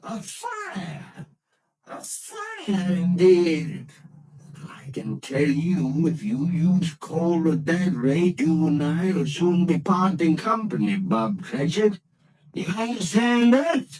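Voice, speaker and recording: A bitter old miser in a vintage radio play. He snarls and sneers, his fairly high voice leaping around in pitch as he barks at everyone. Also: sing-song, takes it slowly, darkish tone, rough recording. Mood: barking. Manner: snarling, scornful, barking outbursts. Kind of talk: 1940s radio acting.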